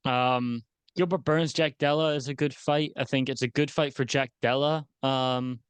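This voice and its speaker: A man hosting a casual sports podcast, talking in a relaxed, easygoing way with some hesitant pauses. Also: mid-range voice, clear, resonant tone, no room sound. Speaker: sports show host.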